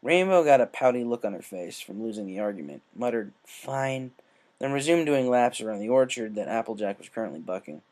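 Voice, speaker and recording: A man's voice: medium pitch, darkish tone. A man reading a story aloud as scripted narration. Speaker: story reader. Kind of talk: scripted narration of fan fiction.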